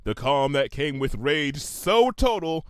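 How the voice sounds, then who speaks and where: A man's voice: a little high, very animated, slow pace, clear, resonant tone, no echo, clean studio sound. A man narrating a comedic fan fiction story in a theatrical, emphatic manner, drawing phrases out for suspense.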